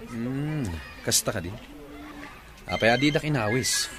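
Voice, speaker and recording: A man's voice: medium pitch, lively intonation, unhurried, a crowd in the background, close to an everyday voice. A man acting a young, easygoing suitor in a radio drama, with an Ilocano accent. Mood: puzzled. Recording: music bed behind the voice.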